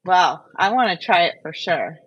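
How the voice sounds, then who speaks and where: Woman, somewhat low pitch, casual show host, conversational delivery. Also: animated, normal speed, highs cut off, slight background noise. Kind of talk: casual chat.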